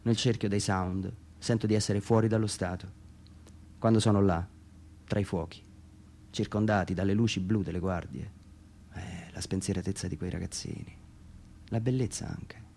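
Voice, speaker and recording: Low, gravelly man reading an introspective monologue. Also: darkish tone. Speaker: writer reading own text. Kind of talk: dramatic literary monologue for radio theatre.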